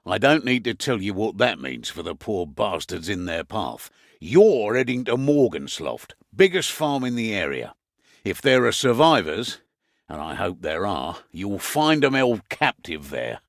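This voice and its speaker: A man playing a gruff, wry mercenary quartermaster in a video game, briefing his fighters with earthy, commanding storytelling and dark humour. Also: lowish voice, sing-song, measured pace, dry recording, clean studio sound. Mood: grim, determined.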